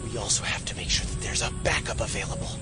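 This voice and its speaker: An actor playing a wary, coldly dutiful covert operative in an audio drama, terse and dryly sardonic, with music and effects behind him. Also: male voice, lowish voice, animated, fast. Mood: dry, businesslike.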